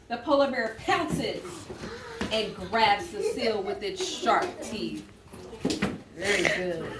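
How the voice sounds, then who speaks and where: A woman teaching an elementary class through an interactive read-aloud, instructing and prompting children with questions. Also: medium pitch. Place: indoor classroom. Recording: echoey classroom, distant mic, faint noise.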